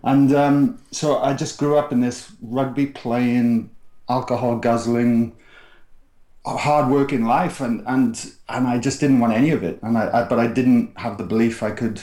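A man's voice: medium pitch, steady tone, a little slow, warm tone, reverberant. A middle-aged man from South Wales with a Welsh accent, a podcast guest telling his life story in a rambling, restarting way. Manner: rambling, frequent restarts and fillers. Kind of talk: casual storytelling interview.

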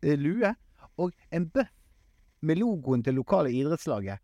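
Male podcast co-host, casual and funny, stumbling phrasing, highly animated melody, dry recording. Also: dry recording. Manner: halting, self-correcting, stammering repeats. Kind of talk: casual humorous chat.

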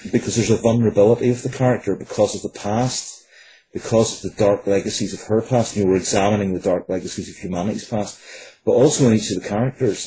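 A man's voice: fairly low pitch, mellow, a little hiss or hum, poor audio. A man speaking as an interview guest, explaining his work earnestly and wordily, with hesitant phrasing.

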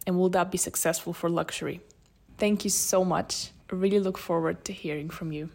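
A woman's voice: fairly low pitch, steady tone, brisk, creaky, a little mellow. A woman from Bulgaria, a consultant, politely putting a prepared question.

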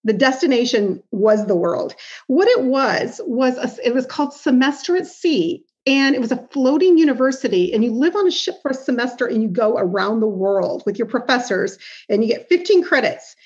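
A woman from Iowa speaks as a podcast guest, a lively storyteller who laughs easily. Her voice sits high. The sound is a bit dull and lo-fi. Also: normal speed. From Iowa, United States. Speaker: podcast guest, business founder. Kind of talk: storytelling interview answers.

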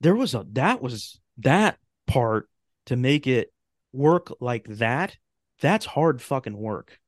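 A man's voice: mid-range voice, big pitch swings, takes it slowly, no echo, studio quality. A man talking casually as an interview guest, thoughtful and searching for his words. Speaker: podcast guest, writer and radio drama creator.

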